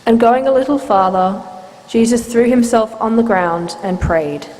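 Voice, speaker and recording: A woman narrating scripture in a measured, formal storytelling style. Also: a little high, a little mellow. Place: church. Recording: reverberant church hall, background noise.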